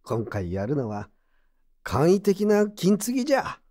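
An actor as a gruff, kindly old craftsman. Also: high-pitched, huge pitch swings, measured pace, darkish tone, exaggerated voice. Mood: kindly, reflective. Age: older. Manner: brusque old-man speech. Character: gruff kindly old male pottery mender. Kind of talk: Japanese audio drama acting.

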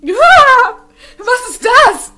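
Woman acting bright, exasperated teenage girl. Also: very high-pitched, sing-song, drawn-out words, faint music behind, heavily acted. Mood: startled. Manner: nagging, exasperated. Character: exasperated, nervous big-sister teenage girl. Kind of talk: anime fan audio drama acting.

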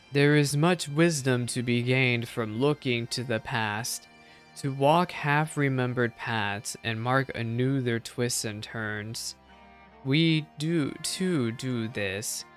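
A wise dragon from a fantasy game, voiced by a man, speaks with solemn gravity. The delivery is formal and measured, like an old recital, with music playing behind it. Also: medium pitch, lightly acted. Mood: solemn welcome.

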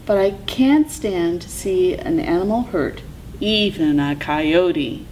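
A woman's voice: lowish voice, expressive, slow, a little mellow, slight background noise, low-quality recording. A woman voicing a tiny, stern hummingbird in a children's story, firmly scolding and admonishing. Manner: firm, admonishing.

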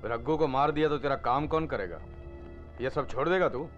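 A man's voice: mid-range voice, moderate pace, natural voice. A young man playing a loyal, disapproving underworld middleman in a gangster film spoof, speaking with reproach. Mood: scornful. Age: young adult. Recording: muffled audio with background music.

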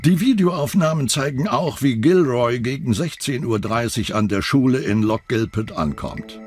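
A man's voice: mid-range voice, lively intonation, normal speed, breathy edge. A man reading scripted narration for a true-crime documentary. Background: background music.

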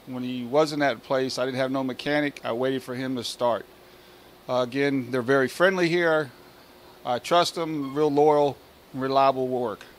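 A man's voice: mid-range voice, slight background noise. An older man from Maryland talks plainly and rambles a little in a customer testimonial. His voice sits mid-range, and he speaks into a handheld mic. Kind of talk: testimonial to camera.

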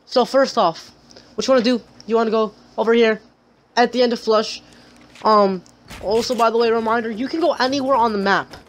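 A gaming channel host with a raspy voice and a sore throat, talking through a gameplay tutorial in a rambling, chatty way. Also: medium pitch, fairly even intonation, a little fast.

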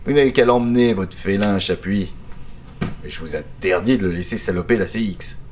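An actor playing a curt, condescending notary boss in a French audio drama. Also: male voice, on the low side, moderate pace, slight background noise, close to an everyday voice. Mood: condescending, dry. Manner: condescending, dismissive.

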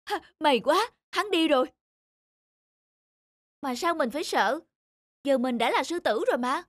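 An actor voicing a blustering, easily startled lion who stammers and exclaims in an animated fable. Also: sits high, measured pace, no room sound, studio quality. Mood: nervous. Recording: loud music behind the voice.

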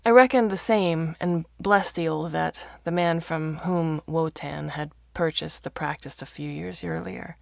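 A woman's voice: sits low, steady tone, measured pace, creaky, few pauses, no room sound, clean studio sound. A woman reading fiction aloud as a scripted first-person story narration for a podcast.

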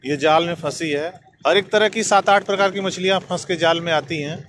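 A man with a fairly high voice hosts a casual vlog, asking curious questions and explaining as he goes. He often repeats what he is told. The handheld phone picks up clear outdoor harbour noise around him. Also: a little fast, fairly bright tone. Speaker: vlogger interviewing fishermen. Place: outdoors on fishing boats at a harbour. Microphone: handheld phone, behind the camera. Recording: noisy outdoor phone recording, engine and harbour ambience.

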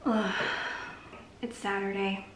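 A woman's voice: medium pitch, flat delivery, slow. Chatty woman vlogging in an echoey bedroom. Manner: chatty and reflective, drifts into soft near-whispers. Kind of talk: casual confessional vlog. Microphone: camera mic at arm's length. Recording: echoey room with faint hiss.

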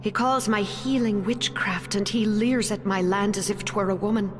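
A resentful colonial healer woman played by an actress speaks in wary, old-fashioned phrases. It sounds like a period video game drama, with loud noise behind the voice. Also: mid-range voice, steady tone, moderate pace, lightly acted. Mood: indignant, wary.

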